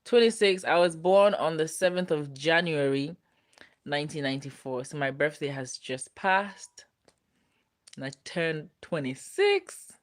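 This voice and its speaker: A woman's voice: sits low, measured pace. A woman in her twenties hosting a casual livestream, reading viewer comments aloud in a playful, teasing way and laughing often. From Ghana. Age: 20s. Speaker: livestream host.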